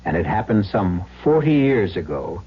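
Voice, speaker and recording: A man narrating an old radio drama as host, in a measured storytelling manner. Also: lowish voice, sing-song. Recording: dull vintage radio recording.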